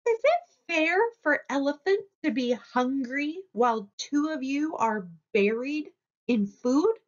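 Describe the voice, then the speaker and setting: A woman's voice: sits high, animated, takes it slowly, muffled recording, voice pushed up. A woman voicing a caring, firm female zookeeper in a storybook, speaking in a patiently instructive way. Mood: concerned.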